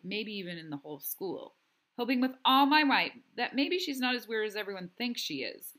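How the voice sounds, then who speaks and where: A woman voicing an honest, awkward young schoolboy in a storybook, self-conscious and expressive. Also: mid-range voice.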